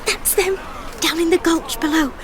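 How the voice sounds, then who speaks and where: A woman playing a brisk, eager, commanding girl storyteller in an audio drama. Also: extremely high pitch, a crowd in the background. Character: brisk, bossy storytelling girl, children's leader.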